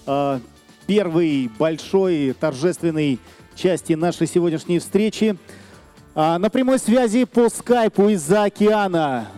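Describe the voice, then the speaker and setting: A man hosting an event, introducing the next speaker in a formal, hesitant way with drawn-out fillers. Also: high voice, dark tone. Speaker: event host. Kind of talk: formal stage announcing.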